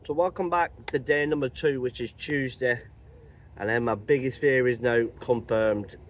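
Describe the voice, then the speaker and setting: A man with a cold and a croaky voice chats rambling and casually in his truck cab as he drives. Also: mid-range voice, fairly even intonation, normal speed, dull audio. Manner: rambling, chatty, many restarts. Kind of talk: casual vlog chat while driving.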